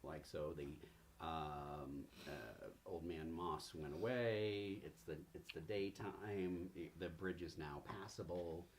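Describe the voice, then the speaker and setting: Slow, low-voiced man running a game. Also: a little hiss or hum. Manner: halting, searching for words. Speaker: game master. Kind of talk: narrating a tabletop game session.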